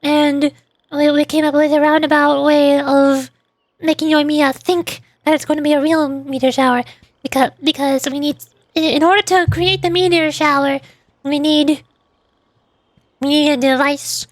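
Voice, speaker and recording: A woman's voice: sits high, level tone, moderate pace, no room sound. A woman streamer chatting her way through a live game, rambling and stumbling as she explains things. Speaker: VTuber streamer. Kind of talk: live game stream commentary with read-aloud voice acting.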